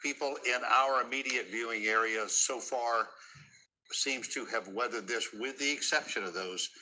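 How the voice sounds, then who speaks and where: Bright, forceful American male news anchor. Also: medium pitch, moderate pace, poor audio. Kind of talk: live unscripted storm coverage. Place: TV studio. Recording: broadcast audio with faint noise.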